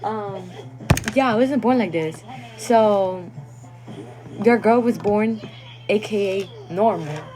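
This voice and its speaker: A woman's voice: mid-range voice, slow pace, long pauses, mellow, soft background music. A young woman chatting casually on a phone livestream; English is not her first language, and she laughs often and sometimes stumbles over words. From Guatemalan family.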